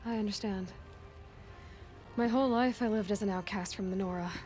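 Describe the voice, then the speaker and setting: Actress doing a young outcast huntress, earnest n curious, mid voice, game cutscene with music under it. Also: female voice, medium pitch, normal speed, dark tone, natural voice. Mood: wry, frank. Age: young adult. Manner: earnest, questioning. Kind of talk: video game dramatic acting.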